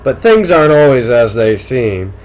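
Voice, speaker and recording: A man voicing a brilliant, manipulative teenage tennis star in an audiobook, speaking in a deliberate, probing and coolly insistent way. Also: mid-range voice, very animated, a little mellow, close to an everyday voice, low-quality recording. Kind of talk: audiobook character dialogue.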